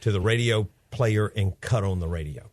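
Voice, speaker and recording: A man's voice: fairly low pitch, normal speed, darkish tone, dry recording. A man in his fifties hosting a radio show, talking in an upbeat, chatty, rambling way with his young son as guest. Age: 50s.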